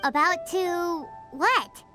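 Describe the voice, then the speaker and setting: A woman voicing a tiny, perky, childlike fairy companion in a video game, squeaky, chirpy and cheeky. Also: drawn-out words, sharp tone, soft background music, full character voice. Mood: cheeky, curious.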